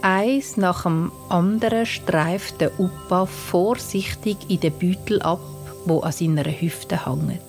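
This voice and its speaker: Woman, Swiss German accent, gravelly edge, gentle bedtime narration, faint music behind. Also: fairly low pitch. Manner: slow, gentle, soothing delivery. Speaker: author and narrator of a children's bedtime story. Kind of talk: scripted bedtime story narration for children. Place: studio. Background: soft music and sound design.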